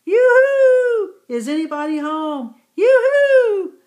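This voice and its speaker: A woman voicing an excited young male yak who calls out loud yoo-hoos in a children's story. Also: high voice, slow, pitched above the performer's own voice. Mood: excited calling.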